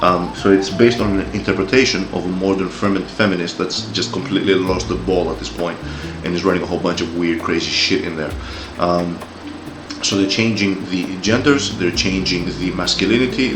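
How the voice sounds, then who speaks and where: A man's voice: sits low, echoey room, background noise. A man in his forties with a Greek-Australian accent, ranting heatedly with swearing and stumbling repeats as an opinion commentator. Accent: Greek-Australian English. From Greece, raised in Australia. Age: 40s. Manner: heated, profane, repeats words when riled. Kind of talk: opinion rant.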